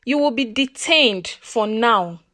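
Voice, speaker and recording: A Nigerian-accented woman voicing a calm, stern male police inspector in a folktale, measured and official. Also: on the high side, heavily acted. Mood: stern, grave.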